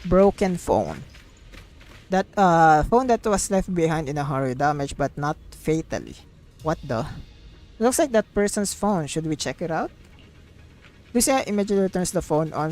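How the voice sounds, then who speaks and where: A woman with a slightly low voice commentates a live game stream. She gushes, repeats herself and reads dialogue out loud. Game music plays behind her. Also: normal speed. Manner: gushing and excitable, exclaims and repeats herself. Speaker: streamer playing a video game.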